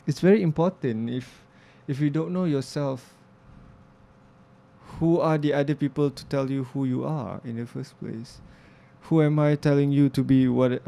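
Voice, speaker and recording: A man's voice: medium pitch, gravelly, mellow. A young man speaking as an interview guest, reflective and earnest, often restarting his sentences. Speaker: interview guest, filmmaker and music producer. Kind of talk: casual interview talk.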